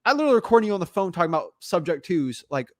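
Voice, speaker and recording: An energetic young man with a high, bright voice, talking fast and animated in a casual conversation. The sound is close and dry, with no room around it. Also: no echo. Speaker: podcast host. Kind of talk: casual chat.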